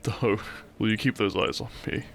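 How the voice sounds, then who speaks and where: Man acting a cheeky low-voiced bandit outlaw. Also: fairly even intonation, normal speed, gravelly, fairly bright tone, slight background noise. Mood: pained, reassuring. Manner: teasing, gallant, dramatic. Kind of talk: audio roleplay acting, addressing the listener.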